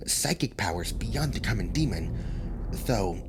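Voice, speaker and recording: A man voicing a nervous, gossipy male demon in an ASMR audio drama, talking in hushed tones and stammering often. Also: fairly low pitch, big pitch swings, a little slow, background noise. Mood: conspiratorial.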